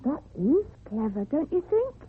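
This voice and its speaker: A very high, unhurried little girl's voice, innocent and matter-of-fact. She moves freely up and down in pitch, as in a radio horror drama. The recording is muffled and narrow, like old radio. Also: sing-song. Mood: matter-of-fact, wondering.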